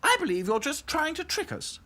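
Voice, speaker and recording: A man's voice: very high-pitched, very animated, fairly quick, loud music behind the voice, voice pushed up. A man voicing a haughty, gushing female princess doll in a children's storybook. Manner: snooty, gushing.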